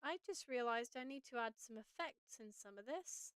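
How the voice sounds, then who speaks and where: Slightly raspy woman talking through edits. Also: on the high side, lively intonation, moderate pace, fairly bright tone, no room sound. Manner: chatty, thinking aloud while editing. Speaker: streamer teaching audio editing. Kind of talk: casual live-stream tutorial.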